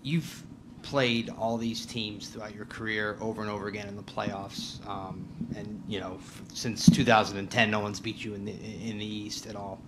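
A man, a sports reporter asking a rambling, halting question at a press conference. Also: lowish voice, little pitch movement, noisy background.

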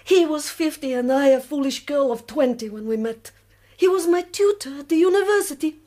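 An actress playing a proud, weary middle-aged revolutionary woman in a radio drama, speaking slowly and deliberately. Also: high voice, dark tone, natural voice. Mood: bitter, grave. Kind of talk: BBC radio drama acting.

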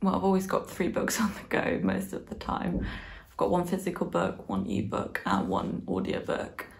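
Book vlogger: woman in her 20s, bilingual in German and English, lowish voice with plenty of fry, informal and reflective. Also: big pitch swings, moderate pace. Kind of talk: casual chat to camera, book review. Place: small living room, on a sofa indoors.

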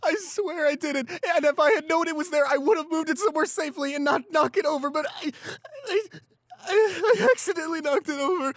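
A high, tearful young male voice, close and dry, acted by a man as a shy boyfriend in an audio roleplay. He is panicked and self-blaming, stammering and repeating himself in distress. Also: brisk, fairly bright tone, no room sound. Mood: tearful, apologetic.

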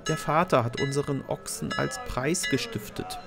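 A man's voice: medium pitch, normal speed, over loud music, pitched above the performer's own voice, close to an everyday voice. A man voicing earnest, upset village children in a storybook audio play, plaintive and emphatic.

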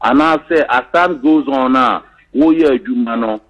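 A man calling in to a radio show, talking in a halting way with many fillers. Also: mid-range voice, a bit bright, muffled recording. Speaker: caller.

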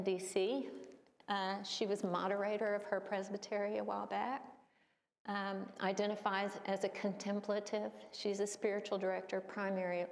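A woman in her sixties giving an unhurried slideshow talk at a church, with thoughtful pauses and fillers. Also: medium pitch, big-room sound. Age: 60s. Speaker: church presenter. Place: church hall.

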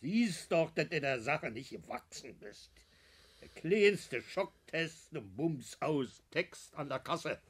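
An actor in a German radio play from around 1960, playing a bossy small-time crook with a Berlin accent and an emphatic, stammering, colloquial delivery. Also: male voice, on the high side, animated, takes it slowly, husky, heavily acted. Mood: scolding, agitated.

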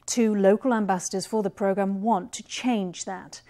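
A woman in her thirties presents the news from a television studio. Her delivery is poised and formal, with a warm, mellow tone at a middle pitch. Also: steady tone, moderate pace. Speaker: news anchor.

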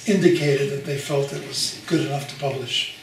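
A man's voice: medium pitch, moderate pace, vocal fry, a little hiss or hum. An older man whose first language is Polish, chatting enthusiastically with guests at a gathering in a living room. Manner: chatty, enthusiastic, asks many questions. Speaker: guest author. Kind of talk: casual chat. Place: living room of a large house, indoors. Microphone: far camera. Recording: echoey room from far off.

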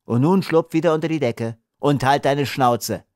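A man voicing a rough, bearded prairie smuggler in an audiobook, blunt, taunting and threatening. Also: on the high side, moderate pace, darkish tone, dry recording, studio quality, voice pushed up, natural voice. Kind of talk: audiobook character acting.

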